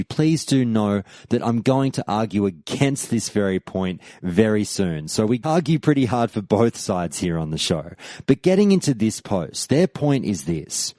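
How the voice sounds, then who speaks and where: A man's voice: on the low side, warm tone, no echo. A man hosting a podcast and reading a scripted history segment with emphatic delivery and rising questions. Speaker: podcast host.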